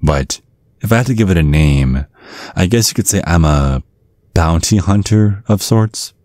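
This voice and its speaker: A man's voice: low-pitched, expressive, a little slow, a little mellow, dry recording, clean studio sound. A man playing a centuries-old vampire father in an ASMR roleplay, mock-polite and condescending with a sly threat underneath. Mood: sly menace. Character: menacing, genteel centuries-old vampire father sizing up suitor. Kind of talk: ASMR roleplay, scripted monologue.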